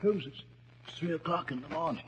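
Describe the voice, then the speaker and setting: An actor playing an excitable, anxious gentleman sidekick, blustering and easily startled, in a 1940s radio drama. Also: on the high side, fairly quick, highs cut off, faint music behind, close to an everyday voice. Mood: hushed, impatient. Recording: faintly noisy 1940s radio recording.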